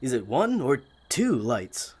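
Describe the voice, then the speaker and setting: An actor playing a polite, earnest gentleman magician in an audio drama, speaking courteously and a little formally. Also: mid-range voice, wildly swooping pitch, takes it slowly, slightly rough, heavily acted. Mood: urgent. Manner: courteous, slightly formal phrasing.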